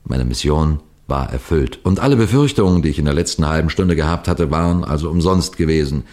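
A man playing a wary police detective who narrates his mission in deliberate, suspenseful 1960s radio crime drama style. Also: a bass voice, expressive, a little mellow. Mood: suspenseful, measured. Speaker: narrator and lead character. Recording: noisy vintage 1960s radio recording.

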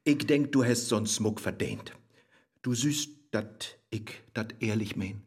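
An actor playing a polite, bashful suitor who flatters slyly in rhymed radio play dialogue, with a Low German accent and a husky voice. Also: male voice, slow. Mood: flattering, wooing. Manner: courting, apologetic, flattering.

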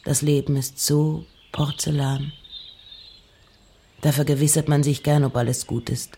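A woman playing a reflective, intense poet in a slow, intimate dramatic recitation of letters and verse. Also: low voice, fairly even intonation, noisy background. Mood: intimate, musing. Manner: measured, intimate, often dropping to breathy whispers.

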